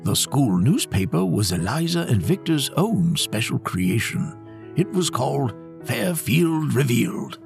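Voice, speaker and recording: Animated male storyteller with creaky edge. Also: medium pitch, measured pace, over music. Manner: expressive, dramatic storytelling. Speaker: storyteller, narrator. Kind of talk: scripted children's story narration.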